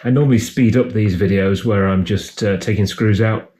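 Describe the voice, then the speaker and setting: A man's voice: on the low side, moderate pace, a little mellow. A man giving a casual, matter-of-fact repair tutorial, explaining each step as he works. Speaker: repair video host. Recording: clear background noise, handling clicks.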